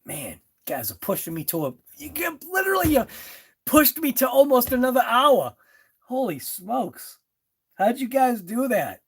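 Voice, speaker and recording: Slow-talking Boston man chatting. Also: very high-pitched, wildly swooping pitch, slightly rough. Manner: slow, rambling, with fillers and laughs. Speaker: host of a live stream. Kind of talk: casual live-stream chat reading comments. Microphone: phone.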